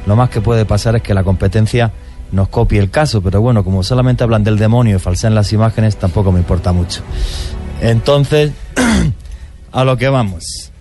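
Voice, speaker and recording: A man hosting a radio talk show about mysteries, talking with animated enthusiasm. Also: fairly low pitch, moderate pace, faint music behind. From born outside Colombia.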